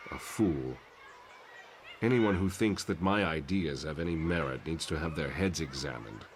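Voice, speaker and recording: A man playing a disillusioned professor in a motion comic, brooding, articulate and measured, full of self-doubt. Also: a bass voice, noisy background. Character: disillusioned male mutant professor, weary, self-doubting idealist.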